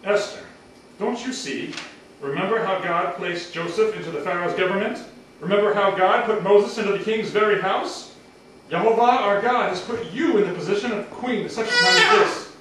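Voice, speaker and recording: A man acts out a devout, anguished uncle for a puppet show. His high, swooping voice is solemn and pleading, and it rings in a very echoey room. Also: expressive, moderate pace. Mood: urgent, solemn. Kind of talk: amateur puppet show acting. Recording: echoey room, distant mic, faint noise.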